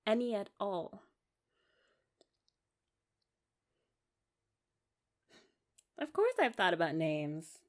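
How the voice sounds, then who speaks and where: A young woman plays a loving young wife in a romantic ASMR roleplay. She has a medium-pitched voice and speaks tenderly and haltingly, straight to her partner. Her delivery is sentimental and girlishly eager. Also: big pitch swings, close to an everyday voice. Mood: reassuring. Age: young adult.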